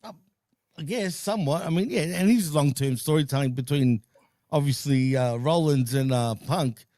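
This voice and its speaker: A man with a slightly high voice hosts a wrestling podcast in an easygoing way. He laughs a lot and hedges his points. The sound is dry and close. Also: normal speed, dark tone, no echo. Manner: laid-back, laughs often, hedges with fillers. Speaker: podcast host. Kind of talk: casual wrestling chat.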